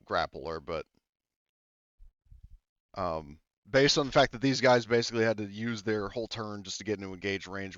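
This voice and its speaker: A man's voice: low voice, a bit bright, smooth, no room sound, dull audio. A man acting as game master in a tabletop game, chatty and loose, with lots of fillers and the odd swear. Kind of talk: running a tabletop game, casual.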